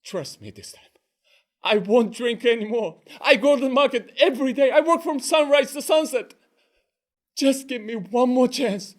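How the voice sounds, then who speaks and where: A man playing a sheepish, hard-drinking fishmonger in a one-performer comic tale, talking in an excitable, pleading way. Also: very high voice, normal speed. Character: sheepish drunken fishmonger husband.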